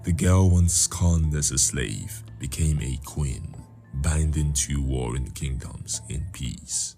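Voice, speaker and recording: A man narrating a folktale as a scripted story, in a measured, dramatic manner. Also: rumbling low, vocal fry, warm tone, soft background music.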